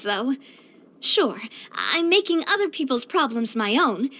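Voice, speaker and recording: A young woman voicing a cheerful, elegant young lady leader in a video game, earnest, chatty and expressive. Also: high-pitched. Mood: warm, reflective. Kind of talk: video game character voice lines.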